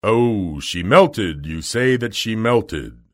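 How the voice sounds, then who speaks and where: Man playing a pompous, imposing great wizard, loud. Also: fairly low pitch, animated. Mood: evasive. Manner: slow, drawn-out, pompous delivery. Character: great and terrible male wizard, booming and imperious.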